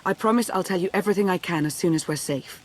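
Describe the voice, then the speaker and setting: A woman's voice: lowish voice, fast talker, a little hiss or hum, close to an everyday voice. An actress playing a composed, pragmatic female operative in a sci-fi game, controlled and dryly sardonic. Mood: serious, pragmatic. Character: composed, dry, pragmatic female companion agent.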